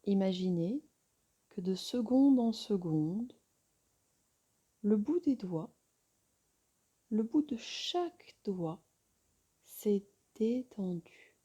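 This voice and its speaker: A woman yoga teacher guiding a relaxation meditation in a soft, soothing, breathy voice with long pauses. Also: mid-range voice, expressive.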